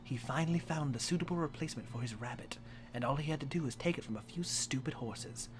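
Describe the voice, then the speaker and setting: A man's voice: a little high, fast talker. A young man reading a fan story aloud for a live stream, hamming it up with swearing and laughing asides. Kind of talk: comic dramatic reading with asides. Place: home streaming setup.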